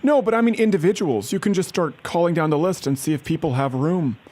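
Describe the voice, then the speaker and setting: A man's voice: on the high side, lively intonation, brisk, a little mellow. A man making a prank phone call as an earnest-sounding aid worker, pushing persistently. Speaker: prank caller.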